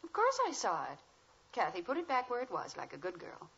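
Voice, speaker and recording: An American woman acting a composed, practical, gently teasing housewife and mother in a 1950s radio sitcom. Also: mid-range voice, wildly swooping pitch, brisk, gravelly, slightly rough, full character voice. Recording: muffled, clicky old radio recording.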